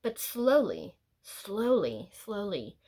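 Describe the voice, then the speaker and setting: A woman reading a picture book aloud as a teacher would, in a deliberate, expressive storytelling manner with drawn-out words and pauses. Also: medium pitch, breathy edge. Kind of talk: picture-book read-aloud, scripted narration with brief asides.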